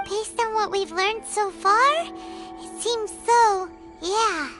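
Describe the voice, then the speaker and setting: Tiny fairy girl from a game, super high squeaky voice, bright and chirpy. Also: slow, soft background music, exaggerated voice. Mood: curious. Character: tiny floating fairy girl companion, chatty and excitable. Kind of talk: game cutscene voice acting.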